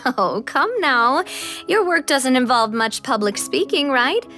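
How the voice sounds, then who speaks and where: A woman voicing a bubbly young leader in a game, playful and quick to laugh. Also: sits high, faint music behind, exaggerated voice. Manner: lively, playful, laughs often.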